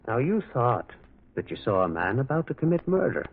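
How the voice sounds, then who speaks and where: A man acting a gentle, shrewd priest detective in a radio drama, musing and insistent, repeating himself as he probes. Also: medium pitch, sing-song, brisk, slightly rough. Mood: gentle, probing. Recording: lo-fi, narrow-band old radio sound.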